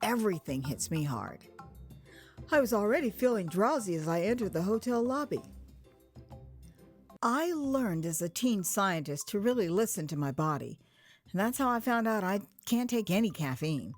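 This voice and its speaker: An American woman narrating her own memoir as a dramatized first-person story, conversational and expressive, acting out moments as she goes. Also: mid-range voice, moderate pace. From United States. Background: music.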